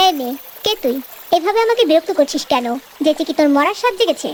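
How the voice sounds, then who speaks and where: A woman doing a deep menacing cartoon snake. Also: big pitch swings, quick pace, background noise. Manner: growling, taunting, threatening. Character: menacing giant invisible snake, grudge-bearing. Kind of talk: cartoon voice acting.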